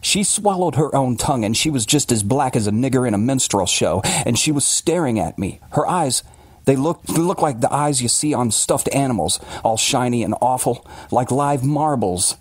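A man's voice: mid-range voice, animated, moderate pace, a little mellow, breathy edge, no room sound, pitched above the performer's own voice. A man playing an agitated, defensive working-class father confessing a horror in blunt, colloquial words, as in an audiobook. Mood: haunted, anguished.

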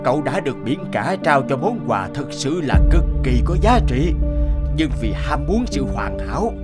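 A man's voice: mid-range voice, big pitch swings, loud music behind the voice, lightly acted. Man playing a grave, knowing older connoisseur. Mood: grave, explaining. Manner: measured, admonishing, explanatory. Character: stout middle-aged connoisseur, grave, knowing and cautionary. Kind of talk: animated fable voice acting.

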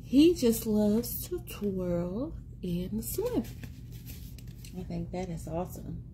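A woman in her thirties reading a picture book aloud to young children in an expressive, sing-song, encouraging way, repeating words for them. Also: medium pitch, slow pace, a little hiss or hum. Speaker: children's read-aloud host and teacher. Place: small indoor room at home. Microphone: phone or tablet at arm's length.

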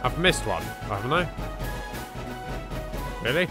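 A man's voice: medium pitch, expressive, slow. Sarcastic English man gaming. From England. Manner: dry, sarcastic quips. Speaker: let's play voice actor. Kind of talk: sarcastic gameplay commentary. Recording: game music behind.

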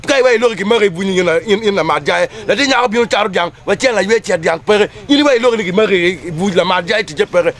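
High-voiced middle-aged Ugandan man. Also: quick pace, hardly any pauses, fairly bright tone. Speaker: member of a community group. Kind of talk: spoken public statement to camera. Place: outdoors under trees among a gathering.

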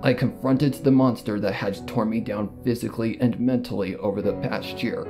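A narrator reading a fan fiction story in the first person, with solemn, dramatic delivery and pauses. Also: medium pitch, fairly even intonation. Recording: music under the voice. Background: background music.

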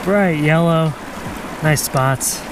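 A man giving casual, relaxed and appreciative commentary as a fly fishing vlogger outdoors. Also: on the high side, very slow, background noise. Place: outdoors by a rocky mountain stream. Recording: music over voice. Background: music, running stream water.

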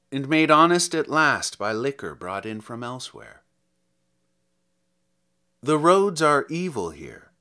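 A man gives a literary poetry reading in a bright, forceful voice. His phrasing is measured and slow, with dramatic emphasis. The pitch moves in an animated way as he delivers his verse. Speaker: poet reading own poems.

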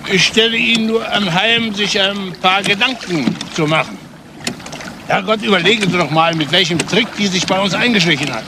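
Disdainful family father, pompous, older, highish voice, radio play, noisy backdrop. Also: normal speed. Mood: pompous, insinuating. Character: indignant, moralizing older father guarding family honour. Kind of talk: German crime radio play acting.

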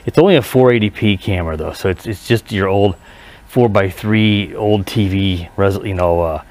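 A man giving a casual, unscripted hands-on review outdoors, speaking haltingly and often correcting himself. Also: on the low side, darkish tone. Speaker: product reviewer. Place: outdoors in a residential driveway. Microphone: head-mounted action camera.

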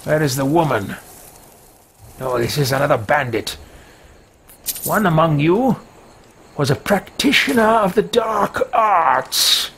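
A man voicing a haughty, power-hungry dark mage in video game roleplay, with pompous, theatrical, formal phrasing. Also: on the high side, lively intonation, slow pace, creaky, long pauses, a little hiss or hum, heavily acted. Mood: covetous.

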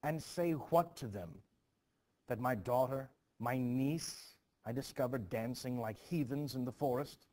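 A man's voice: mid-range voice, measured pace, darkish tone, close to an everyday voice. A man reading the part of an anxious, stern Puritan preacher and father, urgent and emphatic, in a stage play. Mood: stern, defensive.

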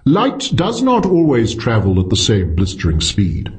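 A man narrating a science fiction story as a scripted audiobook, with an expressive storytelling delivery. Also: fairly low pitch, a little slow.

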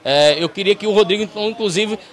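Man in his 30s, Northeast Brazilian accent, high-pitched, upbeat live radio reporter on a handheld mic. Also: fast talker. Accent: Northeast Brazilian Portuguese. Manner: upbeat, chatty, addresses listeners directly. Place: large bright pharmacy, indoors. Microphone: handheld station mic.

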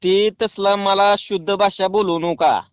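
A man's voice: high-pitched, fairly even intonation, a little slow, smooth, no echo, highs cut off. A man with a rural Maharashtrian accent making a comic prank call, cheeky, pleading and persistent. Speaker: prank caller.

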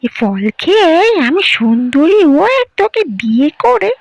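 A vain female ghost witch from a cartoon gloats over her plans, as a woman puts her on. Her voice sits high and gravelly and swoops widely. Light music plays behind. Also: big pitch swings, a little slow, no echo, heavily acted. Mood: scheming. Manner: gloating, shrill cries. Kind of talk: cartoon voice acting.

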